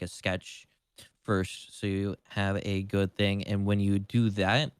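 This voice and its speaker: A man's voice: on the low side, smooth, dry recording, studio quality. A man in casual livestream chat as co-host, rambling with lots of "like" and casual swearing. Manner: rambling, heavy use of "like"; casually foul-mouthed.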